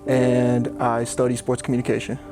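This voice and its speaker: Flat-voiced young man from Colorado. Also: mid-range voice, moderate pace. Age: young adult. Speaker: student interviewee. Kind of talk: documentary interview. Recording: music bed under the voice.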